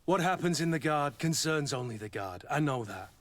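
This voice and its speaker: A man's voice: on the high side, expressive, normal speed, dry recording, natural voice. A man playing a grim, blunt guard captain in a video game. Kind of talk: video game dialogue acting.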